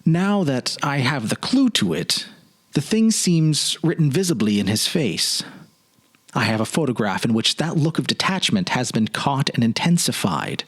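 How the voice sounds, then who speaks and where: A man narrates a literary story in a dramatic style. His pitch moves widely and expressively, and he shapes his phrases deliberately with pauses for effect. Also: very animated, husky. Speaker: presenter and narrator.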